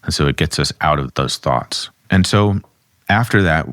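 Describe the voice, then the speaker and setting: A man, a somatic therapist, calmly explaining and demonstrating grounding exercises at his desk. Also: a bass voice, moderate pace, no room sound, studio quality. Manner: calm, instructive, speaks while demonstrating.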